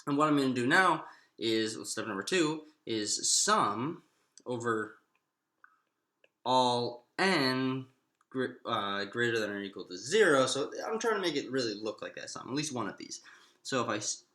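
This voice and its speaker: Animated man teaching math, echoey room. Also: medium pitch, moderate pace. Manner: explanatory, thinking aloud with self-posed questions. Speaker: math instructor. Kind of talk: informal math lecture, working through steps aloud. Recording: echoey, roomy sound.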